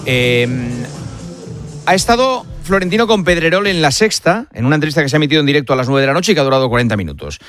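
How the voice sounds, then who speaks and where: Spanish male radio host, higher-pitched voice. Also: sing-song, fast, fairly bright tone, soft background music. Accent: Spanish from Spain. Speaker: radio sports show host. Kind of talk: live sports radio presenting. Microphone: studio broadcast microphone.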